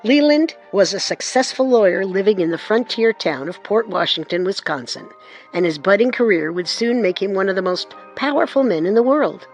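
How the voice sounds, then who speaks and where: Man, high voice, measured dramatic narration, music underneath. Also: lively intonation. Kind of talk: scripted documentary narration.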